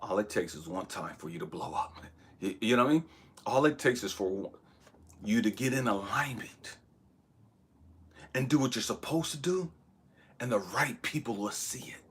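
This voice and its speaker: A man giving a blunt, profane motivational talk, shouting one moment and dropping to hushed asides the next. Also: fairly low pitch, expressive, moderate pace, pauses often, breathy edge. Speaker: motivational speaker. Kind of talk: impassioned motivational monologue.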